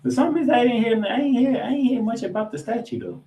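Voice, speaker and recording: A man's voice: very high-pitched, big pitch swings, fast talker, warm tone, lo-fi sound, slight background noise. A man hosting a sports podcast, recapping football in casual chat with an announcer-like delivery of scores and matchups.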